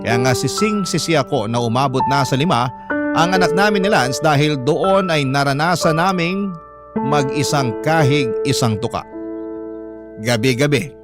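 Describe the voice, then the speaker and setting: A man's voice: on the high side, close to an everyday voice. A man with a Filipino accent narrating a young mother's weary, regretful letter in a wistful, confessional style.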